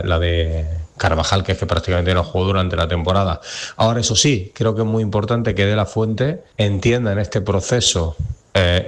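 A man giving unscripted football analysis, with frequent hesitant pauses as he talks. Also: sits low. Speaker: football pundit.

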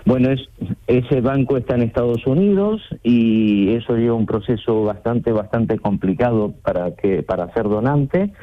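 Phone-in guest: male, middle-aged, thin telephone sound, conversational, halting and repetitive delivery. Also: medium pitch, animated. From Argentina. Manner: halting, repeats words and phrases. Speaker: radio call-in guest, sales representative. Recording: tinny phone line into radio show.

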